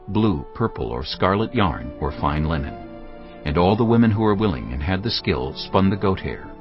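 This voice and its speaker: Deep-voiced male scripture narrator. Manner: measured, reverent. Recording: dull studio recording with soft music. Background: soft background music.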